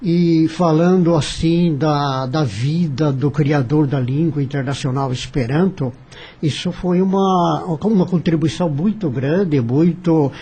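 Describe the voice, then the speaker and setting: A man's voice: a little high, measured pace, mellow, slightly rough. A Brazilian man giving commentary as a radio panel guest, in formal wording with some hesitation.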